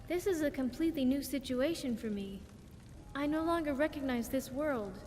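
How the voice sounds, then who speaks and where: A woman's voice: high-pitched, lively intonation, normal speed, a little mellow, long reverb tail, a little hiss or hum. A woman playing a wistful, earnest young vault survivor in a video game, speaking in careful, formal phrases. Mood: calm, uncertain. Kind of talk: video game companion dialogue.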